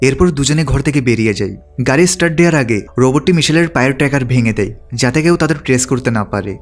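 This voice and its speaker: A man's voice: medium pitch, expressive, very fast, soft background music. A man reading a scripted film recap as a storytelling narrator.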